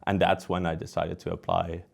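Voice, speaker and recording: Deep-voiced Dutch man explaining things. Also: completely flat delivery, a little fast, creaky. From Netherlands. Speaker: interview guest, researcher and professor. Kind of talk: conversational interview answers, explanatory.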